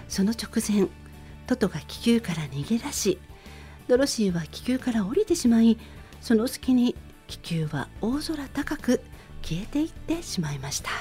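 A woman's voice: mid-range voice, mellow. A woman host narrating a scripted story synopsis in radio-drama style, phrase by phrase with expression.

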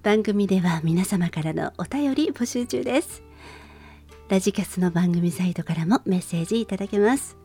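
A woman hosting a radio show, making scripted announcements in a polite, clearly phrased way. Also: medium pitch, moderate pace, warm tone, soft background music.

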